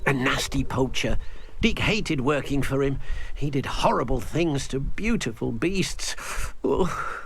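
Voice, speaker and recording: A man's voice: mid-range voice, lively intonation, unhurried, fairly bright tone, husky, exaggerated voice. An actor playing a small, kindly male house-elf servant in a video game, earnest and eager, speaking of himself in the third person. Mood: somber, regretful. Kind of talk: video game character acting.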